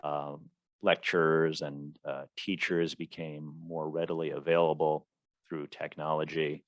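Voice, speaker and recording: A man with a very low voice who talks slowly and with care. He is a podcast guest from the Washington, D.C. area, telling his story in a measured, thoughtful way. The recording is close and dry, with no room sound. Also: little pitch movement, no room sound. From Washington, D.C. area, USA. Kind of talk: interview storytelling.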